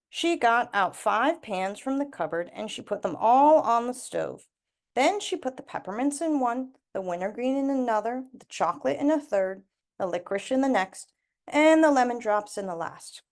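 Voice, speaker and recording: A woman with a fairly high voice reads a children's story aloud. Her delivery is expressive, and she leans deliberately on repeated words and lists. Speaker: storybook reader.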